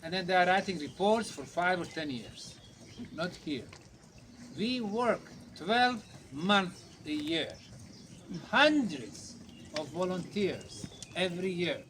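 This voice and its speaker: A man's voice: sits high, lively intonation, slow, fairly bright tone. Emphatic man lecturing in echoey space. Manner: emphatic, short punchy phrases. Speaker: conference host and lecturer. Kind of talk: public lecture. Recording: echoey hall, distant mic, faint noise.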